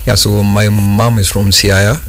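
A man from Kenya, a filmmaker guest answering interview questions in a measured, unhurried way. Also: fairly low pitch, flat delivery, faint music behind.

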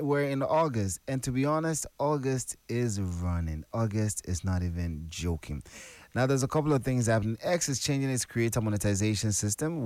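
Male radio host, lowish voice, chatty news delivery over faint music. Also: a little mellow. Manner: chatty, peppers talk with "now" and "you know". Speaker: radio morning show host. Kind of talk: casual radio news chat.

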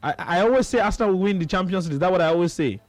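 Fast-talking Nigerian man, radio co-host. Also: sits high, no echo. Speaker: radio sports show co-host. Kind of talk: casual banter.

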